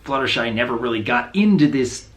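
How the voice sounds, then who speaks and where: A reviewer giving casual, wry commentary on animated episodes. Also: mid-range voice, fairly quick.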